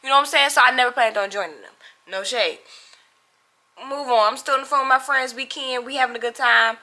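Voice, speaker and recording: A young adult woman telling a casual, animated story to viewers in a dramatic, slangy way, acting out dialogue as she goes. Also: sits high, brisk. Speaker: storytime vlogger.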